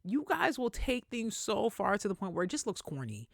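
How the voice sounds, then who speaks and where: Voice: mid-range voice, big pitch swings, moderate pace, creaky, no room sound, studio quality. A podcast host giving casual, opinionated celebrity commentary in a conversational, emphatic way with plenty of slang.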